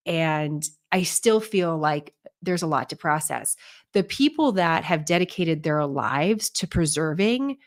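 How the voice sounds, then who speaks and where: A woman reporter narrating in an earnest, reflective voice-over, sometimes searching for her words. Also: low-pitched.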